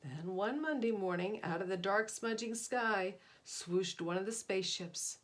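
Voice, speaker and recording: A woman's voice: medium pitch, a little slow. A woman narrating a children's picture book aloud in a dramatic, emphatic way. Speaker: storybook reader.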